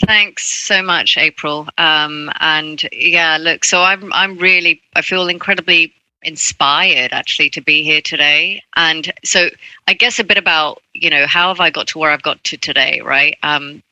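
A woman's voice: sits low, a little slow, pushed and bright, dry recording, low-quality recording. A woman from Hong Kong, a diversity and inclusion advocate, telling her story earnestly in a panel interview, often adding "right?". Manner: earnest, frequent "right?" and "look".

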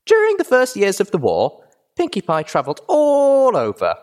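A man voicing a bubbly, excitable young female pony tour guide in an animated storybook reading, gushing and theatrical. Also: high-pitched, moderate pace, voice pushed up. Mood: exuberant, showing off. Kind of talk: animated audiobook character voice.

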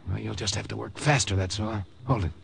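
An actor playing a resolute young American secret agent, clipped and decisive, in an old-time radio drama. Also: male voice, fairly low pitch, a little fast, creaky, slight background noise. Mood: tense, determined.

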